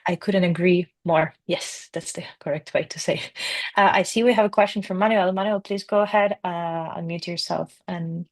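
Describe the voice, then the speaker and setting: A woman with a Ukrainian accent moderating an online workshop Q&A, halting, with many uh fillers. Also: lowish voice, fairly even intonation, no room sound. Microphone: video call.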